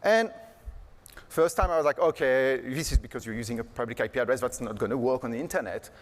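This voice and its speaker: A man's voice: medium pitch, quick pace. A man giving a casual, joking conference keynote on stage, full of fillers like "like" and "uh". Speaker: keynote speaker. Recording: long hall reverb.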